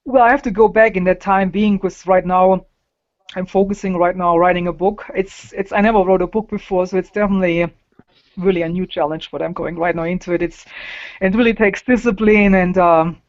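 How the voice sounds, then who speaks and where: German-accented woman, halting speech. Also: on the low side, fairly even intonation, a little mellow, muffled recording. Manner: halting, repeats words, non-native phrasing. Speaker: interview guest. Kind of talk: casual conversational interview.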